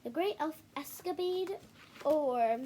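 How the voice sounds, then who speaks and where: A woman hosting a children's read-aloud video, reading a picture book with casual, halting, self-correcting asides to viewers. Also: high voice, lively intonation, mellow, slight background noise. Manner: halting, self-correcting, apologetic while showing pages.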